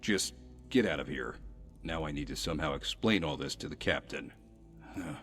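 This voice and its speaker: Man acting a very deep-voiced, exasperated guard officer. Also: animated, moderate pace, a bit bright, faint music behind. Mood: weary, exasperated. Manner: weary, stern, sardonic. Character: gruff, exasperated male city guard officer. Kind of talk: video game character dialogue.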